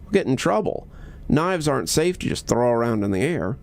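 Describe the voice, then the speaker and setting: A man's voice: mid-range voice, sing-song, fairly quick, vocal fry. A man voicing a frightened five-year-old boy in a horror story, protesting anxiously and obediently.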